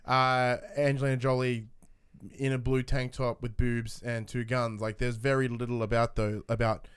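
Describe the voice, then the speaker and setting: Clear-voiced man hosting a casual podcast. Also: mid-range voice, level tone, moderate pace. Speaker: podcast host reading audience questions. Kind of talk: casual chat.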